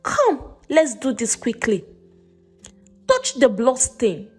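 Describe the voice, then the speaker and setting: A woman voicing a cruel, commanding uncle in a dramatized folktale, with a forceful, threatening manner. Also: high voice, huge pitch swings, slow pace, faint music behind, heavily acted. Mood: bossy, threatening. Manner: forceful, domineering, coaxing at times.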